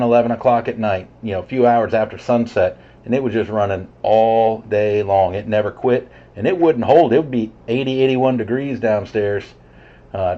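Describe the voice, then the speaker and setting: A man chatting casually over CB radio in a halting, low-key way. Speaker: CB radio operator. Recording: dull, muffled CB radio signal.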